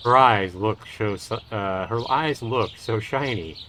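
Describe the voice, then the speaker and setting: A man hosting a livestream, reading a visual novel aloud in a measured storytelling way. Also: lowish voice, slight background noise. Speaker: livestream host. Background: loud music and birdsong.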